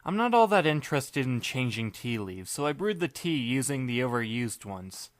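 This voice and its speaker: A young man narrating in the first person, his mid-pitched voice dry and wry, with understated asides. The sound is close and dead, with no room behind it. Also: sing-song, moderate pace, no room sound. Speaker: narrator and lead reader.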